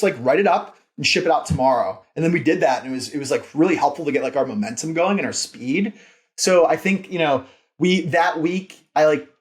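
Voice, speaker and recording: A man with a slightly high-pitched voice talks as a podcast guest. He is informal and enthusiastic, explaining things in a casual, emphatic interview style. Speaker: podcast guest, company founder and CEO.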